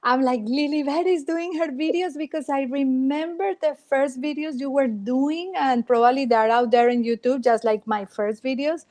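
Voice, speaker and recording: A woman's voice: high voice, moderate pace, hardly any pauses. A middle-aged woman from Colombia, speaking English with a Colombian Spanish accent as a native Spanish speaker, telling stories expressively in an interview. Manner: expressive, dramatic storyteller. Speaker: guest, former TV reporter. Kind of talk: storytelling interview.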